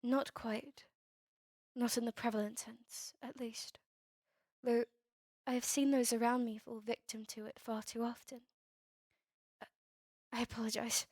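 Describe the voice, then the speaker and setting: A woman playing a melancholy, courteous vampire in an ASMR roleplay drama, with formal, old-fashioned, measured phrasing. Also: a little high, flat delivery, a little mellow, no room sound, clean studio sound. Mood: somber, pessimistic. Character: melancholy, courteous female vampire, turned young over a century ago.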